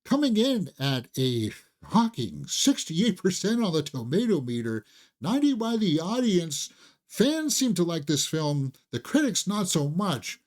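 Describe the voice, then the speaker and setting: A man hosts a casual film review in a conversational, fan-like way. His pitch moves around a lot, rising and dropping as he makes his points. He sounds like an enthusiast talking straight to viewers. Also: sing-song, a little slow, a little mellow. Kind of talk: casual video movie review.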